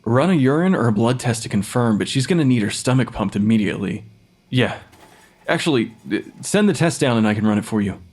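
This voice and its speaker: A man's voice: mid-range voice, lively intonation, brisk, darkish tone, lightly acted. A man playing a clever, slightly awkward forensic pathologist with an analytical manner, acted naturally for an audio drama. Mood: brisk, businesslike. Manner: analytical, hesitant when cornered. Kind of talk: naturalistic audio fiction podcast acting.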